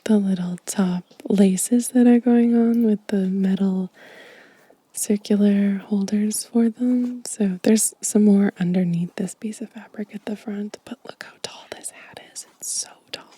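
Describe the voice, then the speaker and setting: Woman, whispered ASMR, breathy, very relaxed and dark tone, gentle, unhurried show and tell. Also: mid-range voice, dry recording. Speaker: ASMR video host.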